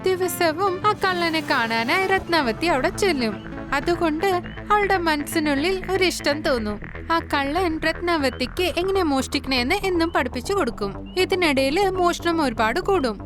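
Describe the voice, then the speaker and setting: A woman narrating an animated moral story in a simple, colloquial way, with background music. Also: very high-pitched, unhurried.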